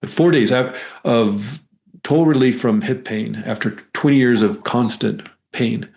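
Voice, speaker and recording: A man speaking as an interview guest, a hypnotherapist explaining his work in a rambling, conversational way with repeated words and halting phrases. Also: medium pitch, creaky, a little mellow.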